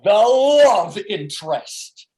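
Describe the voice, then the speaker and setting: A woman's voice: mid-range voice, big pitch swings, extremely slow, a little mellow. A woman playing Steve's part as a paranoid, sarcastic, profane male schemer in a comic script reading. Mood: angry, defiant. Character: Steve, brash, foul-mouthed, paranoid, grieving male schemer. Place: remote video call.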